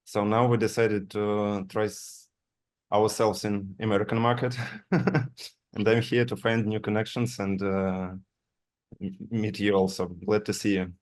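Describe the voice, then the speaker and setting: A native Russian speaker from Russia introduces himself on a video call. He speaks slowly in a lowish, even voice, pausing to find words. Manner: careful, searching for words.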